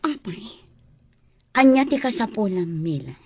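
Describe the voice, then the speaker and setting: A woman's voice: medium pitch, very animated, measured pace, slightly rough, full character voice. An actress playing an indignant, confrontational mother facing her rival in an Ilocano radio drama, with an Ilocano accent and accusing delivery. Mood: wary.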